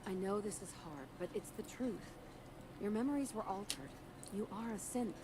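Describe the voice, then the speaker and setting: A woman plays a resolute, stern agent in video game dialogue. Her pitch is mid-range, and the game audio is clearly noisy. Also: lively intonation, moderate pace, close to an everyday voice. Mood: firm, persuasive.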